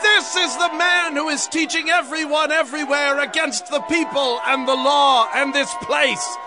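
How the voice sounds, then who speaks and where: An actor playing an outraged man rousing a crowd with urgent, shouted accusations in an audio Bible drama. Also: very high voice, steady tone, moderate pace, over music. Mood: outraged, alarmed.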